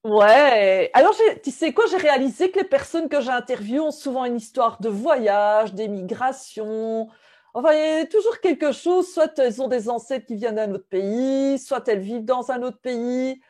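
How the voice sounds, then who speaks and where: Upbeat woman host, slightly high voice, laughs between questions, video call. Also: moderate pace, darkish tone. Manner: upbeat, with frequent laughs and hesitations. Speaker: interview host. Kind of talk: warm video interview.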